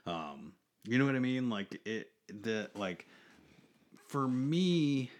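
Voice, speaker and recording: A man's voice: medium pitch, very animated, slow. A man in his forties chatting casually as a co-host, rambling and filling his talk with "you know" and "like". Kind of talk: casual chat.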